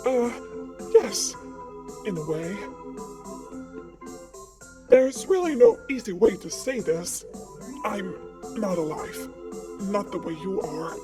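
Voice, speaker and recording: A woman's voice: medium pitch, lively intonation, slow pace, pauses often, music in the background. A woman playing a friendly, anxious, awkward ghost in a visual novel, stammering apologetically. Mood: sheepish, nervous. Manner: flustered, hesitant, apologetic stammering. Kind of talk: visual novel character acting.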